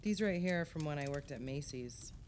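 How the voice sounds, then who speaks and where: Actress as a lowish-voiced welcoming hostess. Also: female voice, animated, a little fast, a little mellow, a little hiss or hum. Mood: chatty. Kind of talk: naturalistic audio play acting.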